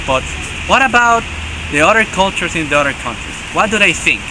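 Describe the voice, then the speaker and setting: A man's voice: high-pitched, animated, normal speed. A man answering an interview, thinking aloud and repeating words. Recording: outdoor street noise. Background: loud traffic noise.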